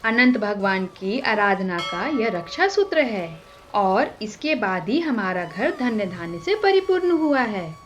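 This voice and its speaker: A woman voicing a gentle, devout young wife in a storybook tale. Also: medium pitch, big pitch swings, measured pace. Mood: happy, devout.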